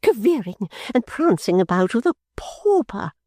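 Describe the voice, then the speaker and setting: A woman reader voicing a haughty, sharp-tongued young woman who scolds her sister in a shrill, indignant tone. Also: sing-song, unhurried, breathy edge, no room sound, studio quality. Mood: scornful.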